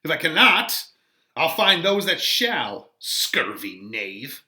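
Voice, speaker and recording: A comical old nurse from a Shakespeare play, put on by a man, huffy and easily flustered. Her speech swoops high and lands forcefully on the words she stresses. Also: very animated, takes it slowly, slight background noise, exaggerated voice. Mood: indignant. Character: indignant, comical old woman, a chattering nurse. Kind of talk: dramatic Shakespeare reading.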